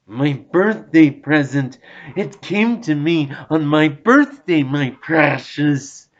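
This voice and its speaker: Man playing a sly hissing creature, squeaky and weird, slow and creepy, kinda muffled recording. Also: animated. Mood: longing. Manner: hissing, wheedling, talks to himself. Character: wretched hissing cave creature, sly and hungry. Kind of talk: audiobook reading.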